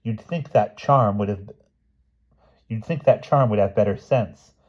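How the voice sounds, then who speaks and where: A man's voice: fairly low pitch, a little slow, dull audio. A man voicing a bossy, worried boy in a storybook, with urgent, grandly dramatic phrasing.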